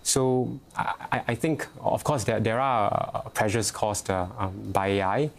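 A man's voice: on the low side, fairly even intonation, unhurried, gravelly. A young Singaporean man answering interview questions, hesitant, with many fillers and restarts. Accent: Singaporean English. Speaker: youth organisation head, interviewee. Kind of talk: news interview.

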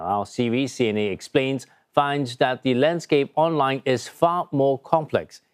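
A male news anchor with a mid-range voice delivers scripted bulletin lead-ins. His reading is measured and formal, each sentence set out cleanly. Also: medium pitch, darkish tone.

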